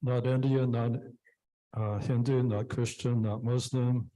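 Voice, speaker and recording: A man in a class who repeats the lecture's phrases slowly and carefully. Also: medium pitch, little pitch movement, dark tone, poor audio. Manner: slow, careful repetition of phrases.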